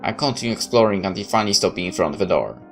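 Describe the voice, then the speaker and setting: A man reading a first-person story aloud in a dramatic, slightly halting, expressive way. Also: sits low, soft background music. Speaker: video game player reading a visual novel aloud.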